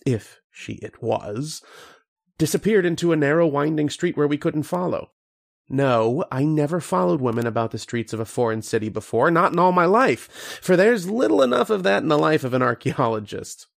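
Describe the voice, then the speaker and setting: An actor playing an earnest, haunted archaeologist telling his uncanny tale in old-time radio horror style, with dramatic, deliberate pauses. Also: a little high, animated, creaky. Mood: brooding, haunted. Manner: dramatic first-person storytelling with deliberate pauses.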